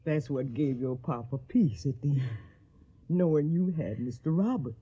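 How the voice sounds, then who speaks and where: A husky, dark-toned voice: an older Southern housekeeper, devoted and plain-spoken. It is radio drama acting on a slightly muffled old recording. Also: female voice, low voice, moderate pace. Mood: gentle, consoling.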